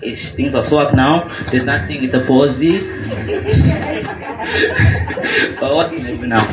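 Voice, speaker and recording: A man's voice: mid-range voice, brisk, crowd noise, close to an everyday voice. A man playing a sly host making excuses. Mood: sheepish. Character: sly young man testing a holy man. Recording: echoey room with clear background noise.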